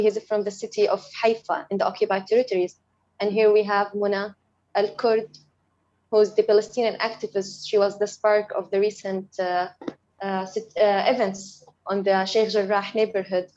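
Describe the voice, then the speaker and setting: A woman's voice: medium pitch, flat delivery, brisk, a little mellow. Young woman on a dull online call. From Syria. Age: young adult. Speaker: guest speaker, refugee activist. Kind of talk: prepared webinar presentation.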